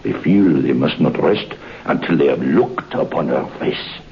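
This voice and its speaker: Deep gravelly guy narrating old radio horror, slow and swooping, muffled hissy broadcast. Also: animated. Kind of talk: 1950s radio drama narration.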